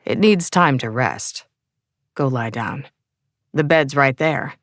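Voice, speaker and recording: A woman voicing a weary old male vampire who speaks tersely and grumbles, in a low voice. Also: animated, slow, no room sound, studio quality, exaggerated voice. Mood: gruff.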